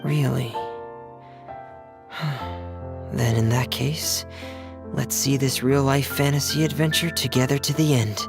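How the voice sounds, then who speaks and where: A man voicing a gentle, reserved teenage boy in a video game, hesitant, soft-spoken and careful. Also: mid-range voice, fairly even intonation, slow, creaky, pauses often, over music. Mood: resolved.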